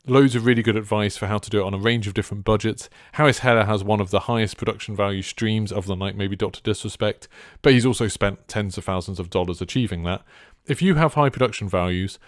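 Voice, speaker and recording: Casting: man, deep clear voice, easygoing delivery, casual creator advice, very dry sound. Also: fast talker, dry recording. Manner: chilled, laid back. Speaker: streamer and content creator giving advice. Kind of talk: casual advice talk to viewers.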